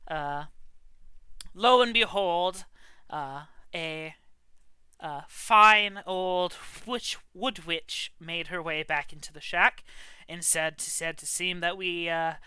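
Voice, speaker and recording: Male talking-animal traveler for tabletop fantasy acted by a game master: rustic, aggrieved yarn-spinner, forceful bright tone, close dry sound. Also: medium pitch, smooth, dry recording. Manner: folksy, rambling storytelling.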